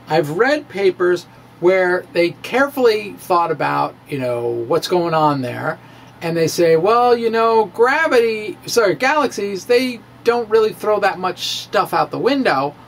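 Theatrical, slow-paced man lecturing. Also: high-pitched, vocal fry, slight background noise. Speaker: science video blogger. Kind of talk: dramatic reading of a physics lecture to camera. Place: indoor room with bookshelves. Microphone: handheld-style microphone on a stand, close in front.

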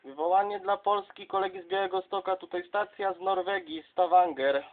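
High-pitched man chatting on CB radio. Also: expressive, a little fast, vocal fry, very noisy. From southern Poland. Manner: chummy, addresses others as colleague. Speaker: CB radio operator. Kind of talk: casual radio contact chat. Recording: dull, lo-fi sound.

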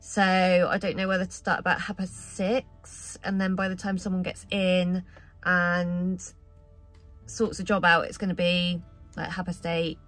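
A woman's voice: fairly low pitch, level tone, a little slow, fairly bright tone, soft background music. A woman in her thirties, a truck driver, chatting casually to a close camera from her parked cab and thinking aloud. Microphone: camera close in front, handheld or dash-mounted.

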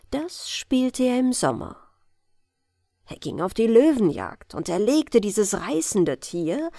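A woman with a German accent reading a fairy tale aloud in a calm, gentle bedtime style, in a lilting storyteller manner. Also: medium pitch, no echo, clean studio sound. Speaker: audiobook reader.

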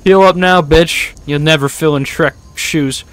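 A man's voice: fairly high pitch, fairly even intonation, measured pace, fairly bright tone, rough recording. A young man giving irreverent, sweary comedic game commentary into a close desktop mic, reacting aloud. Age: young adult. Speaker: gaming YouTuber. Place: small room at home. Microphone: desktop microphone up close.

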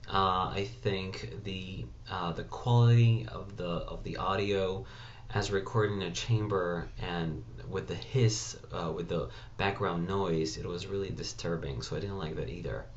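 A young man giving a casual tech review at a desk in a small home room, talking in a plain, measured way with frequent "uh" fillers, recorded on a built-in computer webcam mic. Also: low voice, muffled recording, slight background noise. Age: young adult. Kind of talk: casual spoken product review.

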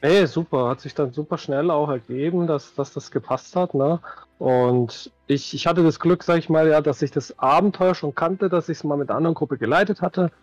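Dull-sounding call, higher-voiced man chatting. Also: normal speed, darkish tone, no room sound. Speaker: guest, new game master. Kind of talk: casual chat. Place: room at home, on video call. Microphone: headset on a call. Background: music under the voice.

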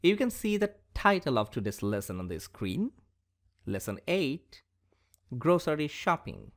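Slow teacher. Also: male voice, a little high, big pitch swings. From Bangladesh. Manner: slow, deliberate teaching voice that reads dialogue aloud. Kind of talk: scripted English lesson for schoolchildren. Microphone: voice-over over slides.

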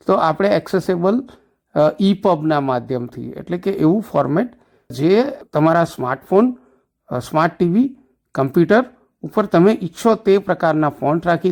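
A man's voice: fairly high pitch, creaky, darkish tone. A man giving an informative interview as an educator, explaining step by step and answering his own questions. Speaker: special educator, secretary of an association for the blind.

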